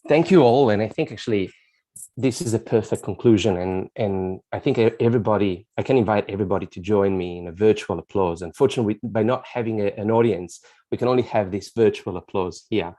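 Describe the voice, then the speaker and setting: A man with a very deep, mellow voice moderates an online event. He speaks earnestly and formally, with a few halting restarts. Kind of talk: closing remarks at an online event.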